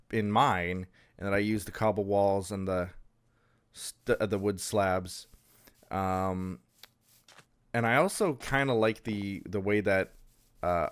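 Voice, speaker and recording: A man's voice: lowish voice, smooth. A man hosting a let's-play, talking casually through a blocked-up sinus, hesitant and full of ums and restarts. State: stuffed up with a sinus infection. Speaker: Minecraft server let's-play host. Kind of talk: casual gameplay commentary.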